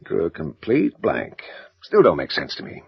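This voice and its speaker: Gravelly, low-voiced folksy country police sergeant. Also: male voice, huge pitch swings, dry recording, heavily acted. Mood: grim, puzzled. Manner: halting, folksy country speech. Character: rural police sergeant, folksy male, haunted by old case. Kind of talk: audio horror drama acting. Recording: muffled, dull audio drama recording.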